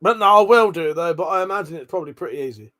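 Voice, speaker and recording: A man's voice: high-pitched, very fast, a bit bright. A British man hosting a livestream, chatting casually in an informal, rambling, sweary way as he reads and answers viewer comments. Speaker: livestream host.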